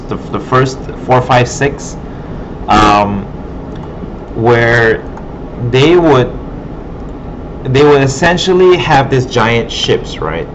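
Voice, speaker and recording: A man teaching informally as an instructor, thinking aloud in a hesitant way, recorded in a classroom. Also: medium pitch. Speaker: digital art instructor. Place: indoor classroom. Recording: muffled, noisy classroom recording with air-conditioning hum.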